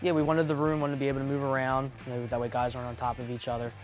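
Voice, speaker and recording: Fast-talking, matter-of-fact male fire chief. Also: medium pitch, level tone, soft background music, rough recording. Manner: matter-of-fact, practical, plain-spoken. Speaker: volunteer fire battalion chief. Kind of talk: explanatory interview answers.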